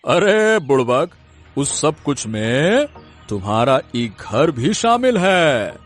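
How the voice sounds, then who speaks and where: A man's voice: on the high side, very animated, slow pace, faint music behind. A man voicing a sly village land-grabber in a cartoon story, taunting and condescending. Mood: mocking.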